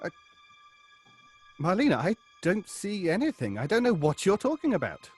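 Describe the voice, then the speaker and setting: Exasperated theatre actor for horror drama: very animated intonation, fussy, melodramatic. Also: male voice, sits high, normal speed, slightly rough, soft background music, exaggerated voice. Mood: nervous. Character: exasperated male stage actor in rehearsal. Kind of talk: horror audio drama acting.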